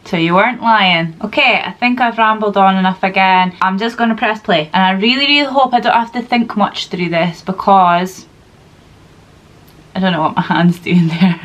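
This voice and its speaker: A young woman who is congested from a cold, chatting casually and giggling while she reacts to a movie at home. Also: mid-range voice. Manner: chatty and giggly, repeats words. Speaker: reaction channel host.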